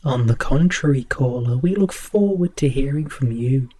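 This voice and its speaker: A man playing a courteous, ominous host of a spooky radio drama, speaking in formal, theatrical phrases with a husky voice. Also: medium pitch, animated, moderate pace, mellow, lightly acted. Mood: politely unruffled. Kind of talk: horror radio drama hosting.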